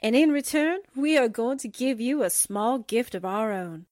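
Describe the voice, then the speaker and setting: A woman with a slightly high voice reads prepared lines as a radio drama presenter. The sound is very dry and close, with no room around her. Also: expressive, moderate pace, darkish tone, no room sound. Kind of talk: scripted holiday greeting and essay reading.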